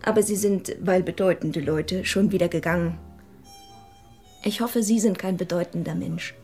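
An actress playing a shy, frank twenty-year-old would-be writer, naive and earnest, in a radio drama. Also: female voice, on the low side, moderate pace, warm tone, faint music behind, close to an everyday voice. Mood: forlorn, frank.

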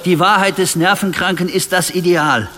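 An actor playing a bewildered, grumpy old patient in a radio play, hesitant and plaintive. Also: male voice, high voice, moderate pace, background noise, natural voice. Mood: gloomy. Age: older. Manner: hesitant, stammering, indignant, plaintive. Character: bewildered, grumpy old male psychiatric-clinic patient dragged onstage. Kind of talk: radio drama acting.

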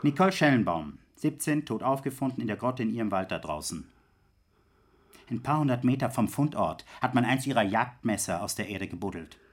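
A male actor plays a police inspector in a radio drama, a coarse man with a rough, husky voice. He is contemptuous and impatient, and he bullies through blunt, repeated phrases. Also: mid-range voice, expressive, fairly quick, natural voice. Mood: grim, pressing. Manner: gruff, cursing, hammering repetition.

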